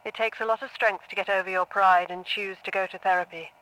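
A woman playing a patient, composed therapist with a measured, reassuring manner in an audio drama. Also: medium pitch, steady tone, pushed and bright, smooth, natural voice, poor audio. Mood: calm, reassuring. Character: composed, patient female therapist, quietly probing.

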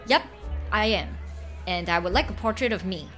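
A woman's voice: mid-range voice, expressive, normal speed, a bit bright, soft background music, lightly acted. A woman playing a forward, direct client in her thirties in audio drama dialogue. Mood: forward, matter-of-fact.

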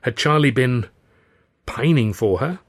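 Guy reading his own novel, medium pitch, wry measured storytelling, really dry recording. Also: expressive, no echo. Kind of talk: scripted audiobook narration, first person.

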